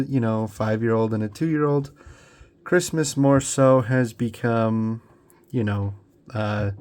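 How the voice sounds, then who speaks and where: A young man with a cold, sounding congested, chatting casually through an unboxing at his hobby bench with plenty of ums and restarts. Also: mid-range voice. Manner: relaxed, rambling, lots of ums and restarts. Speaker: scale model builder. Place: home hobby workbench.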